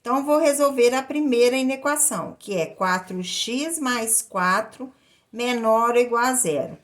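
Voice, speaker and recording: A woman's voice: a little high, moderate pace. A woman with a Brazilian accent explaining a lesson step by step as a maths teacher, in a didactic way with repeated phrases. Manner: didactic, repeats phrases and checks in with short tag questions.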